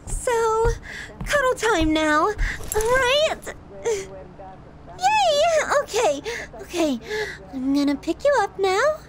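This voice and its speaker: A woman's voice: very high-pitched, big pitch swings, slow pace, background noise, full character voice. A woman voicing an obsessive, doting young yandere girlfriend in an ASMR roleplay, with a cutesy, giggly manner and an undertone of menace. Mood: playful, clingy.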